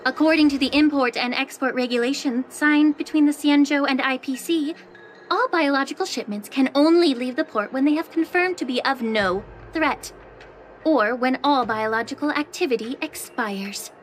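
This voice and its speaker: An actress playing a calm, long-lived alchemist official who speaks with dry, teasing sarcasm in a video game. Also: female voice, high voice, normal speed, slight background noise, lightly acted. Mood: wry. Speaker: head alchemist.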